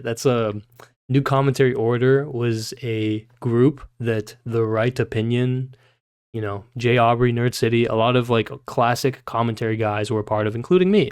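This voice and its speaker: A man's voice: mid-range voice, darkish tone, smooth, dry recording. Casual male streamer, dry close sound. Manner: informal, swearing, breaking off into puzzled asides. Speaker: livestreamer. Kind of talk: casual livestream chat and reading a novel aloud.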